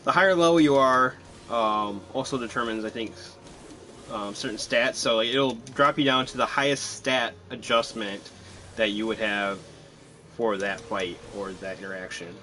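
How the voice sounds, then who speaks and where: A man's voice: fairly low pitch, normal speed, pushed and bright, slight background noise. A man casually commentating on his live game stream, chatty and self-deprecating, thinking aloud as he plays.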